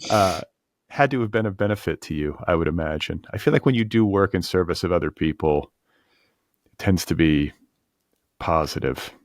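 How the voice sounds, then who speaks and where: A man with a low-pitched voice interviews a guest in a conversational way. He is reflective, building long questions in tentative steps. The sound is close and dry, with very little room in it. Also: lively intonation, moderate pace, no room sound. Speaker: podcast host interviewing a writer.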